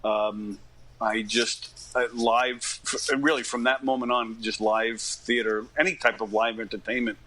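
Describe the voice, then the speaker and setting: A man of about fifty from Long Island, New York, telling stories casually as an interview guest, in a halting, informal way that often doubles back. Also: medium pitch, level tone, sharp tone, rough recording. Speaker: interview guest, stage actor. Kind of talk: casual conversational storytelling.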